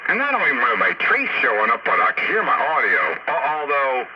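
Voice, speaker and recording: A man's voice: mid-range voice, wildly swooping pitch, a little fast. An American man chatting casually as an amateur radio operator over a radio link. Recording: dull, very noisy radio transmission. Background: heavy radio noise.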